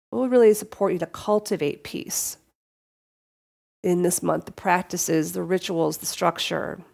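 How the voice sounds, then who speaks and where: A woman's voice: lowish voice, gravelly, a little mellow. A middle-aged woman hosting a reflective solo podcast, sniffly with a cold, earnest and prone to repeating and restarting her words as she talks to listeners. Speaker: podcast host.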